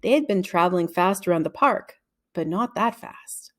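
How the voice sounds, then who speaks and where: A woman narrating a children's novel aloud in an expressive storytelling way. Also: on the low side, moderate pace. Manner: expressive storytelling with acted dialogue.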